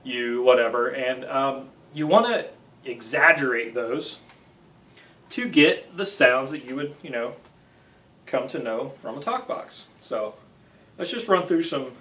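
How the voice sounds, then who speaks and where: A man's voice: mid-range voice, animated, a little slow, sharp tone, distant mic. An American man in his thirties giving a casual guitar tutorial with self-deprecating, joking asides. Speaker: guitar instructor. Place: small home music room.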